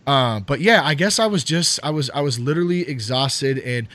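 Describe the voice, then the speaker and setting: A man's voice: mid-range voice, expressive, moderate pace, fairly bright tone. A man in his thirties hosting a podcast, talking loosely and casually with lots of fillers and swearing. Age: 30s. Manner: rambling, loose, swears freely, many fillers. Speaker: podcast host.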